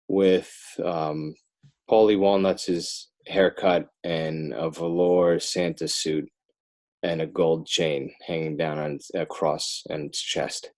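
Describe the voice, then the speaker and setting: Casting: American male, low voice, children's author guesting on a podcast, conversational. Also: darkish tone. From Northern New Jersey, United States. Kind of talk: casual podcast interview, plugging work.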